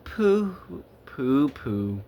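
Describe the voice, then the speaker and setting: A man voicing a sly, gloating, grumpy Grinch who lies sweetly and slips into breathy murmurs, in a storybook reading. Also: mid-range voice, sing-song, extremely slow, dark tone, a little hiss or hum, exaggerated voice.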